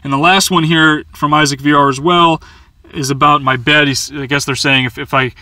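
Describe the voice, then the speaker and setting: Young male vlogger in a small van, bright voice and a dry close sound, chatty with many fillers and restarts. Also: on the high side, little pitch movement, moderate pace, no room sound. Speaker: van-life vlogger answering viewer questions. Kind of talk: casual Q&A chat. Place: inside a small camper van.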